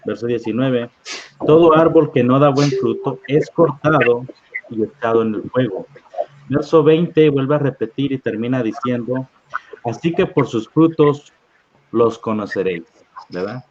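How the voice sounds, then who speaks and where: A man teaching a Bible study over a live online stream, his voice sitting in the middle of the male range. He reads verses slowly and keeps asking his listeners "¿verdad?". The audio is dull and lo-fi, like a video call. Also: mid-range voice, a little mellow, slight background noise. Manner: unhurried, reads scripture aloud, often adds "¿verdad?".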